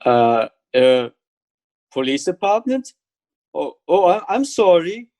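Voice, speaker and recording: A man playing a murderer in a radio thriller, feigning a casual apology in a halting, reassuring manner. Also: on the high side, sing-song, darkish tone, exaggerated voice. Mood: falsely calm.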